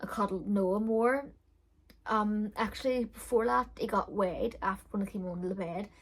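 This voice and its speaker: Chatty young woman, gravelly. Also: mid-range voice, normal speed, poor audio. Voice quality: loud voice. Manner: chatty, frank, with frequent fillers and laughs. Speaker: beauty vlogger. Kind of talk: casual storytelling.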